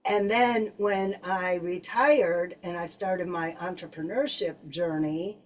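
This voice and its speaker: A woman's voice: fairly low pitch, takes it slowly, distant mic. A woman in her fifties hosting a podcast interview, speaking in an upbeat, enthusiastic way. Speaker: podcast host. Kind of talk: casual interview chat.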